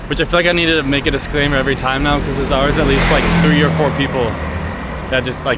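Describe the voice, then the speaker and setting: An American man chatting casually and unscripted to the camera as a travel vlogger, informal and rambling, full of slang. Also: medium pitch. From United States. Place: outdoors walking through a city. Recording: clearly noisy outdoor city street with traffic.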